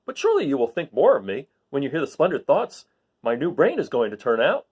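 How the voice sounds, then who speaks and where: A man's voice: mid-range voice, huge pitch swings, brisk, darkish tone, husky, dull audio. A man voicing a cheerful, proud scarecrow in a storybook reading, eager and earnest.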